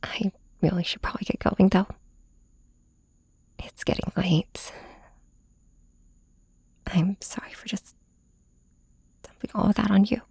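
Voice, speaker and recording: A woman playing a weary, heartbroken hero confiding in her nemesis, hesitant and soft-spoken in an intimate ASMR audio drama. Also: mid-range voice, steady tone, unhurried, long pauses, dry recording, heavily acted. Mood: resigned, cautious. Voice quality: breathy, whispery.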